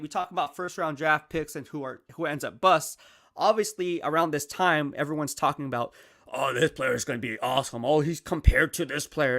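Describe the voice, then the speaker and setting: Man, sports commentary host, bright and slightly high voice, chatty and punchy, a bit hoarse. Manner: energetic, conversational, peppered with "right?" and "all right?". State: losing his voice. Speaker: football analyst and show host. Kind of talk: casual sports commentary monologue.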